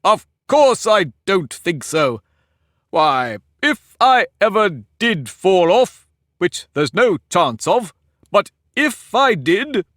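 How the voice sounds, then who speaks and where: Man doing a pompous storybook egg, high, loud, slow, grand. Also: big pitch swings, dry recording, studio quality, full character voice. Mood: haughty. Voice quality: boastful, argumentative, grand. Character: pompous, touchy talking egg, male. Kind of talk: audiobook character reading.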